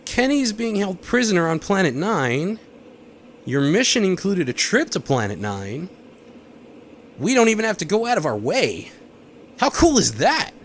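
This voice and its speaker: An actor playing a dim, sweet, hapless ex tagging along on a space mission in an audio drama, earnest and guileless. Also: male voice, high-pitched, big pitch swings, measured pace, exaggerated voice. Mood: naive wonder. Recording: noisy background ambience.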